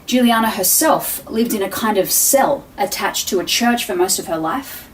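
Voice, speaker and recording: An actress plays a courteous, articulate defense attorney making a formal opening statement in an audio drama. Her voice is medium in pitch and composed as she explains things to the jury. She sounds somewhat distant from the mic, with faint noise behind her. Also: lively intonation, normal speed, lightly acted. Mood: composed, explanatory.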